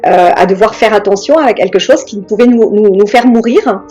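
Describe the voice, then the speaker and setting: A middle-aged woman from France talks slowly and reflectively as an interview guest, often pausing and starting over. Her voice is mellow and sits in a middle register. Faint music plays in the background. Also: mid-range voice. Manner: hesitant, many pauses and restarts.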